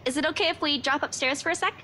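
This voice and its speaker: A woman playing a brisk, teasing young office worker in a visual novel game, with a playful, sarcastic and dismissive manner. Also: sits high, pushed and bright, slight background noise. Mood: brisk, apologetic. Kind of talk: voice-acted visual novel dialogue.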